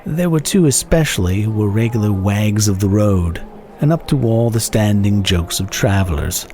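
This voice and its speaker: Mellow, low-voiced man reading a story. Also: animated, moderate pace, slight background noise. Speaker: narrator, reader. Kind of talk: dramatic reading of a classic short story.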